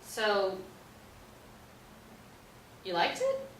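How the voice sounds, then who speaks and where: A woman's voice: medium pitch, huge pitch swings, drawn-out words, a bit bright, full character voice. A woman playing a curt, gloomy and sardonic playwright in a live stage play reading. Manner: sardonic, dismissive. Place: echoey indoor stage or hall. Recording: echoey room, distant mic, faint noise.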